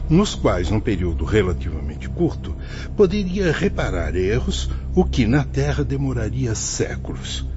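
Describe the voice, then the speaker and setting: An actor playing a benevolent priest guide in a Brazilian radio drama, speaking in a solemn, measured, didactic way with a Brazilian accent. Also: male voice, medium pitch, sing-song, over music. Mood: earnest, preaching. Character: benevolent priest guide in a spirit-world reformatory. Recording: dull lo-fi audio with faint noise.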